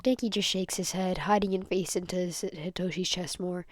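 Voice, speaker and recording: A woman's voice: fairly low pitch, steady tone, normal speed, no room sound, clean studio sound. A woman reading fan fiction aloud as narration, sometimes stumbling over words and rereading them. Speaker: fan fiction podfic reader. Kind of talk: scripted story narration, read aloud.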